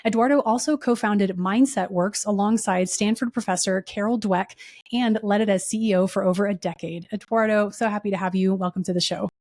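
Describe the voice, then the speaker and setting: A woman hosts an interview podcast. Her voice sits at an average pitch for a woman. She delivers introductions and questions to her guest in the manner of a show's presenter. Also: brisk, darkish tone. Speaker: podcast host.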